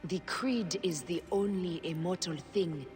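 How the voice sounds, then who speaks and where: A woman's voice: fairly low pitch, a little mellow, lightly acted. An actress playing a stern woman who founds a secret order, speaking in a grave, solemn video game cutscene. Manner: slow, solemn, grave delivery. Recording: game audio with long reverb. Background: game score music.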